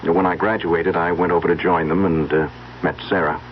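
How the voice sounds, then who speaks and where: An actor playing a polite young engineer and new husband, courteous in manner, in a radio drama. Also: male voice, low voice, fairly even intonation, a little fast, natural voice. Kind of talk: 1970s radio drama acting. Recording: dull lo-fi old radio broadcast, faint noise.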